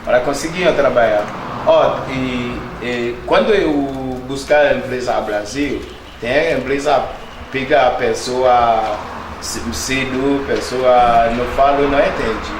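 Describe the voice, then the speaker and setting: A Haitian man with a Haitian Creole accent answering interview questions in halting words, often searching for the right one. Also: medium pitch, echoey room, noisy background.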